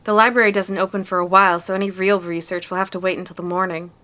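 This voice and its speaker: A plucky young woman played by a female voice actor in a visual novel dub. Her voice sits at a middle pitch, and she talks in an informal, matter-of-fact way. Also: flat delivery, quick pace, pushed and bright, natural voice. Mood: resolved. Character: plucky, casual young woman amateur cryptid hunter.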